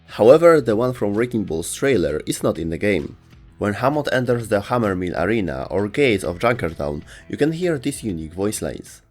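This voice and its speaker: Low-voiced man narrating, lively pitch. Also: normal speed, faint music behind. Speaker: video host and narrator. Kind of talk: scripted narration, gaming lore video.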